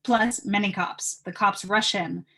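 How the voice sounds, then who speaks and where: A woman narrating stage directions from a script at an informal table read, with a husky voice. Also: medium pitch, a little slow, bright, forceful tone.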